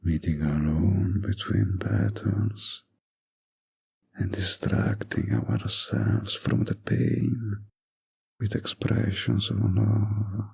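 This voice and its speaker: Man acting a husky, low, seductive villain. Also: very animated, darkish tone, heavily acted. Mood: seductive, probing. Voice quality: husky, breathy, often near a whisper. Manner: slow, teasing, seductive, insinuating. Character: flirty, manipulative male fantasy villain seducing a hero. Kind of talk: romantic audio roleplay acting.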